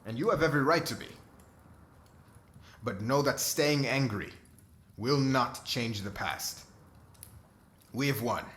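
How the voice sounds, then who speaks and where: A man playing a solemn, diplomatic king in an ASMR roleplay, speaking in a formal, measured, oratorical way. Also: medium pitch, fairly bright tone, a little hiss or hum. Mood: impassioned. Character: solemn diplomatic young king addressing subjects and friend.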